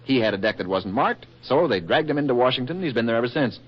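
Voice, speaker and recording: Actor as wisecracking American male reporter, rapid delivery, breezy and dry, vintage radio drama, dull lo-fi recording. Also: mid-range voice, animated, lightly acted. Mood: teasing. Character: brisk, wisecracking American magazine reporter man. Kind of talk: 1940s radio comedy-romance acting. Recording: muffled 1940s radio recording.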